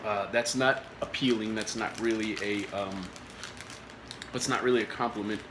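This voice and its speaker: A man's voice: lowish voice, fairly even intonation, moderate pace. A man hosting a casual food review vlog, getting over a cold, rambling with many filler words and restarts. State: recovering from a cold. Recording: echoey room with faint noise.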